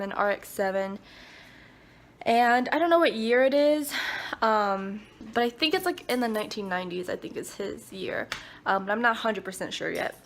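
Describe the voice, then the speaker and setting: A woman's voice: mid-range voice, vocal fry, fairly bright tone, slight background noise. A young woman vlogging casually to her phone camera in a bathroom, rambling with lots of ums and likes, a bit stuffy and congested. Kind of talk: casual talk to camera while getting ready. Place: home bathroom.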